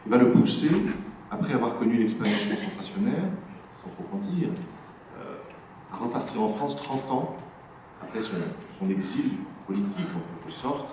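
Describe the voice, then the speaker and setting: A man's voice: mid-range voice, animated, moderate pace, noisy background. A man interviewing an author at a literary event and summarizing the novel for the audience. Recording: echoey, muffled hall sound from far off.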